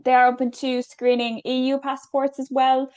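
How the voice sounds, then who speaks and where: A woman's voice: high voice, level tone, moderate pace, a little mellow, clear, resonant tone, dry recording, dull audio. Chatty Irish woman hosting a webinar. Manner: chatty, filler-heavy, reassuring. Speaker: webinar host. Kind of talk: webinar Q&A, reading out questions.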